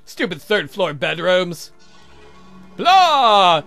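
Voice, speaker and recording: A man's voice: high-pitched, very animated, very slow, creaky, sharp tone, faint music behind, full character voice. A man voicing a clumsy, flirtatious vampire count from a game, grumbling and swearing theatrically. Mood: exasperated.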